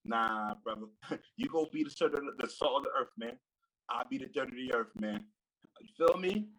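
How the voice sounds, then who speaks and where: A man's voice: mid-range voice, fairly quick, a bit bright, low-quality recording. A man giving a loose, swaggering mock wrestling promo full of slang, laughing as he taunts. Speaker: podcast guest competitor.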